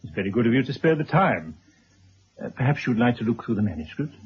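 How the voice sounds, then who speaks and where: An actor playing a polite, formal biographer in a radio drama, speaking precisely in a husky voice. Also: male voice, mid-range voice, sing-song, fast, a little hiss or hum, natural voice. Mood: apologetic, polite. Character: courteous, formal male biographer interviewing his subject. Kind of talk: 1970s radio drama acting. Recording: dull old radio broadcast recording.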